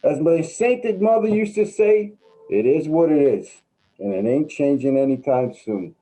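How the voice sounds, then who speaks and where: Man playing mellow, folksy down-and-out guy. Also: fairly high pitch, animated, unhurried, low-quality recording. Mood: wry, friendly. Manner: folksy, wry, theatrical. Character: downtrodden, colorful homeless man, resigned but friendly. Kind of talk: staged reading of a play.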